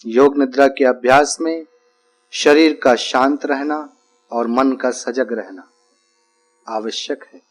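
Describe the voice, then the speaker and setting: Slow-speaking man guiding yoga nidra. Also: mid-range voice. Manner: calm, deliberate, repeats phrases with pauses between short instructions. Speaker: yoga nidra instructor guiding a relaxation practice. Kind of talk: guided meditation instruction.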